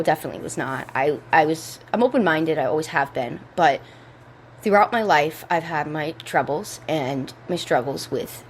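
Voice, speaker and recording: Hoarse, low-voiced young woman. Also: slight background noise. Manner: rambling, laid-back, swears freely. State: hoarse from a cold. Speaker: podcast host. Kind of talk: casual chat. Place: indoors at home.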